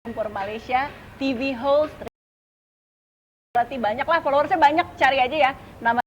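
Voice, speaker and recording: Woman, Jakarta accent, fairly high voice, casual podcast host, clearly noisy outdoor park setting. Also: moderate pace, dull audio. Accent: Indonesian, Jakarta. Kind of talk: casual interview chat. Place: public park. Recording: noisy public park ambience.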